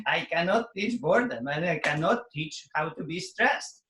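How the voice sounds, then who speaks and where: A man teaching a fitness method, talking casually to an audience in halting, non-native phrasing and laughing often. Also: on the high side, expressive, bright, forceful tone, roomy sound, slight background noise. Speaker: fitness teacher hosting a workshop.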